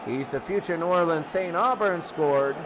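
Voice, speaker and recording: A man's voice: fairly high pitch, normal speed, noisy background. A man doing play-by-play football commentary in short, clipped calls, often repeating his phrases. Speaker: play-by-play announcer of a football game. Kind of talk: excitable sports play-by-play commentary.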